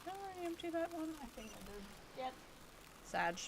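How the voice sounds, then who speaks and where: A woman's voice: high-pitched, sing-song, brisk, background noise. A woman game streamer giving casual, playful live commentary with silly little asides. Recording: game music behind the voice. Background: video game music.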